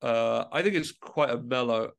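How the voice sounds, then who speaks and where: A man speaking as a musician guest in a reflective interview, halting and often rephrasing mid-sentence. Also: mid-range voice, gravelly.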